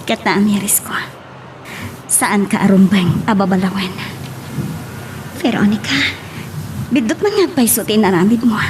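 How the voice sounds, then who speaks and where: A woman's voice: medium pitch, moderate pace, pauses often, background noise, close to an everyday voice. An actress playing an earnest, emotional young woman caught up in romance in an Ilocano radio love drama. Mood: remorseful. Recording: music behind the voice.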